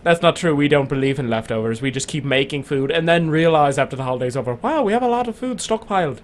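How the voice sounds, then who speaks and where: Playful high-voiced young man. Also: lively intonation, fast, a little hiss or hum. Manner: playful, jokey, rambling. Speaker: radio show co-host. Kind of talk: casual banter.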